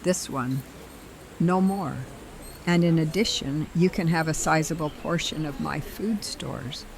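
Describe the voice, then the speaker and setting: An actress voicing a calm, formal and assured mysterious woman trader. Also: low voice, gravelly, a little hiss or hum, poor audio. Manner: measured, deliberate, formal.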